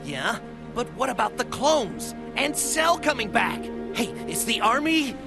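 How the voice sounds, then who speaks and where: A woman playing a small, feisty, devoted husband and fighter in an English anime dub, earnest and excitable. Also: on the high side, a little slow, vocal fry, exaggerated voice. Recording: loud music behind.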